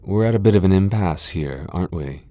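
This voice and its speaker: A man's voice: sits low, expressive, brisk, mellow, dry recording. A man playing a haughty, centuries-old vampire lord in a fantasy ASMR roleplay, sardonic, taunting and theatrical. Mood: wry, grudging.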